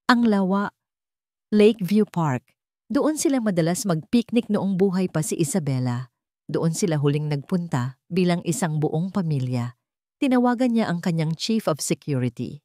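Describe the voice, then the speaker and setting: Female storyteller, low register, close dry sound, for scripted romantic drama narration. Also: warm tone, dry recording.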